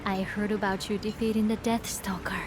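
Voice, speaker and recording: A woman as a gentle townswoman, noisy background. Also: medium pitch, flat delivery, fairly quick, close to an everyday voice. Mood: sympathetic. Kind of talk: video game cutscene voice acting.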